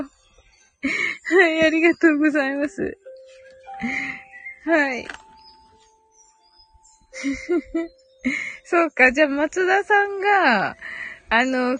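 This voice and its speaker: Giggly, high-voiced woman chatting. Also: fairly bright tone, dry recording. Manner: giggly, thinking aloud. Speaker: live audio show host. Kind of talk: casual chat with live listeners.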